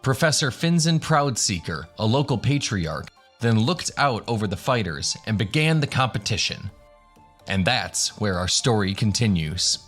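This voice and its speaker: A man's voice: fairly low pitch, sing-song, normal speed, fairly bright tone, soft background music. A man narrating a scripted fantasy adventure as the game master of a radio drama.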